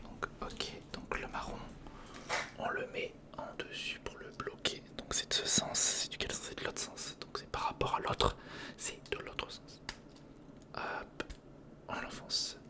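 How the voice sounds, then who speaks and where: An ASMR host whispering breathily and close to the mic, thinking aloud to the listener through a step-by-step build. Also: a little slow. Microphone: close recorder or studio condenser microphone.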